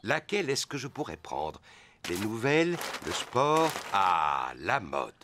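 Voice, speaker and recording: Deep, jolly, animated cartoon daddy pig. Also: male voice, takes it slowly, background noise, full character voice. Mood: jovial. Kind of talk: children's cartoon dubbing.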